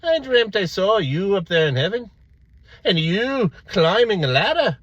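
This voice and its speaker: A man voicing a cheeky, blunt pilgrim in a folktale, exclaiming loudly. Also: sing-song, measured pace, dry recording, muffled recording, heavily acted. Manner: loud, high, exclaiming.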